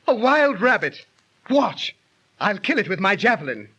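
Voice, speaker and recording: An actor playing a proud, pious ancient Greek traveller, declaiming archaic lines in 1930s radio drama style. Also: male voice, high voice, big pitch swings, a little slow, sharp tone, husky, heavily acted. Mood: excited, proud.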